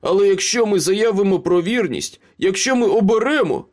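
A man's voice: high voice, animated, moderate pace, pitched above the performer's own voice. A man voicing a wounded, cowardly young male traitor in a storybook, pleading and protesting breathlessly. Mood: urgent persuading. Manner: frantic, gasping pleas and protests.

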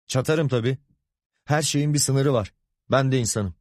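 A man's voice: medium pitch, moderate pace, darkish tone, no echo, clean studio sound, pitched above the performer's own voice, close to an everyday voice. A man voicing a proud, hot-tempered husband and father, stern and exasperated, with indignant warnings. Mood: stern, angry.

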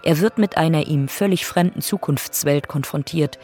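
An actor playing a sober narrator, giving scripted explanations in a radio drama. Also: male voice, a little high, normal speed, darkish tone, soft background music, natural voice.

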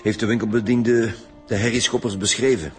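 A man playing a weary, grumpy chief inspector in a radio drama, gruff and curt, with a Flemish accent. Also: fairly low pitch, fairly even intonation, brisk, faint music behind, lightly acted. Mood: grumpy, businesslike. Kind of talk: naturalistic radio drama acting.

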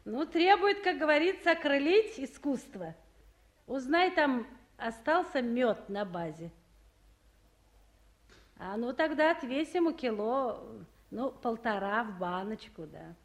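A busy but kindly council chief in a radio drama. She speaks slowly in a fairly high voice, folksy and placating. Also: lively intonation. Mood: brisk, familiar. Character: busy, practical, good-natured female town council official. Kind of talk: radio play acting.

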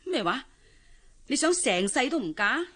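An actress with a Hong Kong Cantonese accent playing a pushy, traditional middle-aged auntie in a radio drama, coaxing and exclaiming. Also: female voice, high-pitched, big pitch swings, a little slow, fairly bright tone, slightly rough, heavily acted. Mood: scandalised insisting. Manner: coaxing, exclaiming, sighing interjections. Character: pushy, traditional older auntie urging a girl to marry.

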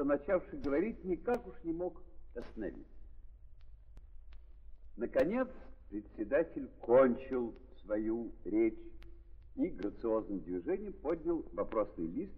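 An actor as a wry literary narrator, reading with deliberate, expressive phrasing in a 1930s theatrical style. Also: male voice, mid-range voice, slightly rough. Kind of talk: scripted dramatic narration, 1930s stage reading. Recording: muffled, tinny 1930s recording, long reverb.

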